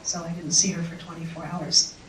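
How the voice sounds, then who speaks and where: A woman giving a personal talk to an audience in an auditorium, speaking through a microphone in an unhurried, reflective way with pauses. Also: on the low side, little pitch movement, distant mic, a little hiss or hum. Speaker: invited speaker. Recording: echoey hall mic heard from afar.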